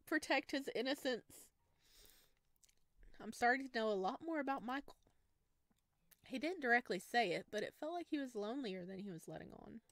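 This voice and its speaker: A woman's voice: a little high, animated, normal speed, pauses often, no echo. A woman doing a visual novel let's play, reading aloud and reacting in a chatty, playful, giggly way. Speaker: game streamer.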